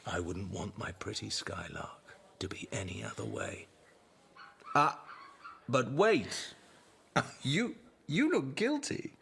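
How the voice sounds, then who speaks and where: An actor playing a well-off, patronising husband in colonial Calcutta in a radio drama, teasing with fond endearments. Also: male voice, on the low side, very animated, slow pace, vocal fry, pauses often, fairly bright tone, a little hiss or hum, exaggerated voice. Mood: playful, affectionate.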